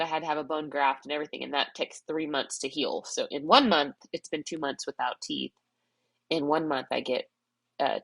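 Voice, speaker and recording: Tearful woman vlogging, low and dry. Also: gravelly, no echo. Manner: rambling, tearful, self-reflective. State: crying, congested. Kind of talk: emotional personal vlog, external processing.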